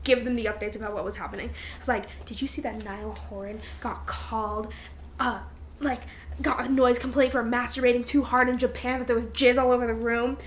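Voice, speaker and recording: A young adult woman co-hosting a podcast in casual chat with a friend, with an animated, exclamatory, candid way of talking and casual swearing. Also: fairly high pitch, brisk, a little hiss or hum. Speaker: podcast co-host.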